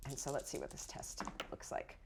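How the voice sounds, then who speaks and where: A woman's voice: moderate pace, background noise. A woman acting as game master, improvising narration and guiding players through the rules in an encouraging, conversational way.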